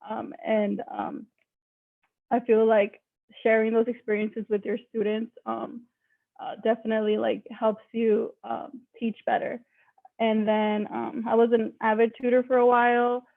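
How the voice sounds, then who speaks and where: A woman's voice: on the high side, little pitch movement, a little slow, vocal fry, no echo. A woman from Mexico, a native Spanish speaker, speaking casually as a math teacher on a panel, with many likes and ums. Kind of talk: panel discussion. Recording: tinny dry phone-like line.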